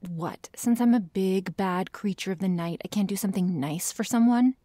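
A woman's voice: medium pitch, moderate pace, mellow, no echo, clean studio sound, natural voice. A woman playing a prickly, sarcastic but secretly shy vampire girl in an ASMR roleplay, hesitant and sighing, often dropping to a whisper. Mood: sheepish. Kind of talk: ASMR roleplay addressed to the listener.

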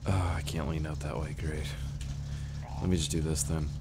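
A young man with a hoarse, strained voice giving casual, profane, self-mocking commentary while playing a game. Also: very deep voice, little pitch movement, moderate pace, very noisy. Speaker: gaming YouTuber. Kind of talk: casual let's-play commentary.

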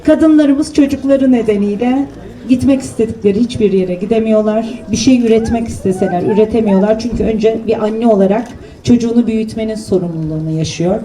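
A woman giving a plain public speech at an opening event, pausing with fillers. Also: on the high side, warm tone, far from the mic.

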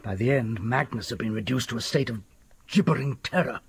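An actor playing a haunted, desperate older doctor in a radio drama, telling things in a halting, theatrical way with a husky, creaky voice. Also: male voice, medium pitch, slight background noise, close to an everyday voice. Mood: grim, ominous.

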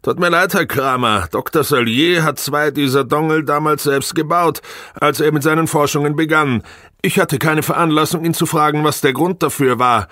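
A man's voice: a little high, moderate pace, pushed and bright, no room sound, clean studio sound, voice pushed up. A man voicing an apologetic, defensive male employee explaining himself in an audiobook.

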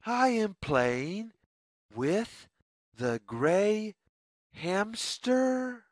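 Very slow, sing-song children's story reader. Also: mid-range voice, very animated, no room sound, clean studio sound. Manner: slow, deliberate, phrase-by-phrase sing-song reading. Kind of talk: children's read-aloud story narration.

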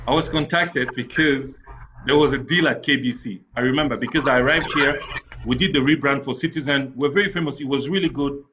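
A man's voice: medium pitch, fairly quick, fairly bright tone, a little hiss or hum. A man from Cameroon, an entrepreneur, telling frank stories on a webinar panel and repeating phrases for emphasis. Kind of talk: candid storytelling on a webinar panel. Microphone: video call. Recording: dull lo-fi video call audio.

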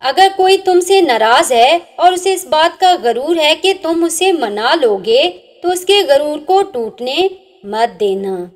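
A high-pitched woman recites inspirational religious sayings at an unhurried, deliberate pace. Her delivery is measured and lilting, rising and falling widely through each line. Every saying settles onto a lower, quieter close. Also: expressive. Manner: measured recitation, each saying ends on a dropping, softer note. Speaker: narrator. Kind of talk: reading inspirational religious sayings over slides.

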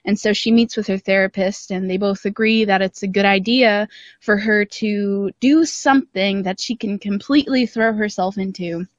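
A woman's voice: mid-range voice, fairly even intonation, moderate pace, no echo. A woman guest talking casually and informally in a podcast interview over a remote call. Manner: chatty and informal, often laughing lightly. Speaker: podcast guest, audio drama creator. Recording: dry, slightly dull call audio.

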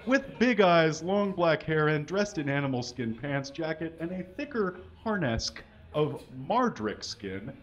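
A man's voice: a little high, a little slow, few pauses. Man reading fan fiction aloud onstage. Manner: dramatic reading with deadpan asides, reads punctuation aloud. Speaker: comedic reader. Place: small stage with curtains. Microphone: stand mic. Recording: dull, lo-fi live recording.

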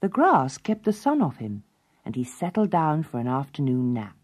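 Brief: low-voiced woman reader, very lively delivery, music clearly under. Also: normal speed. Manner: expressive, dramatic. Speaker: storyteller. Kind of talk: scripted storybook narration.

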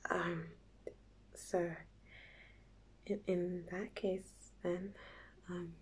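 Very breathy woman acting as a shy young woman, nervous and giddy, slow halting whispers, stammering, intimate ASMR roleplay. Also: lowish voice, little pitch movement, full character voice. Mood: flustered, happy. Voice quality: soft, breathy, often whispered. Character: nervous, giddy young woman before and after first kiss.

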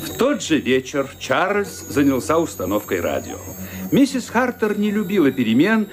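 A man narrating a radio play in a measured storytelling manner. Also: on the high side, huge pitch swings, background noise.

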